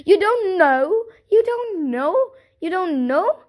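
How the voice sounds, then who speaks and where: A woman's voice: very high-pitched, expressive, slow pace, a little mellow, pitched above the performer's own voice, heavily acted. A woman voicing a crowd of townspeople in a storybook, exclaiming and scolding. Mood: angry. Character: crowd of alarmed, then angry townspeople.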